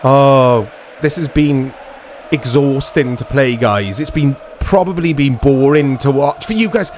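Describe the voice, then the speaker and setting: A man commentates casually over a football video game at an unhurried pace. His voice is mid-pitched and slightly hoarse from strain, and he talks in short reactive fragments. There is faint noise behind him. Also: a little mellow. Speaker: gaming channel host.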